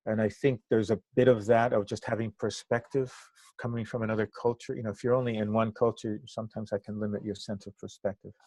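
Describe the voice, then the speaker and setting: An older man from Cuba, a native Spanish speaker, giving reflective interview answers in halting, thoughtful phrases. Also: fairly low pitch, little pitch movement, a little mellow, no echo. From Cuba, moved to the US at eight. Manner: halting, thoughtful phrasing with pauses mid-sentence. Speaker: interview guest, activist and trainer.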